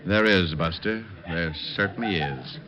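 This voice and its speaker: An actor playing a cocky, wry hard-boiled private detective in a 1940s radio noir. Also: male voice, sits low, unhurried, a loud crowd. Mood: brisk. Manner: wry, sardonic, clipped delivery. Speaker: narrator and lead actor. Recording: muffled old radio recording, music behind.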